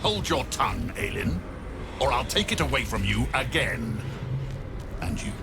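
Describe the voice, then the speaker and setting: Smug necromancer villain, wildly swooping delivery. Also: male voice, medium pitch, big pitch swings, creaky. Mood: threatening, mocking. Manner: theatrical, drawn-out, condescending. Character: smug, gloating male necromancer villain, vain and menacing. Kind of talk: video game villain acting. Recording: loud music behind the voice. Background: game soundtrack music.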